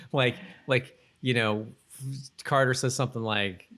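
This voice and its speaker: A man co-hosting a podcast, casually recapping a TV episode in a rambling, halting way and often repeating himself. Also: mid-range voice, fairly even intonation, fairly bright tone. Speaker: podcast co-host.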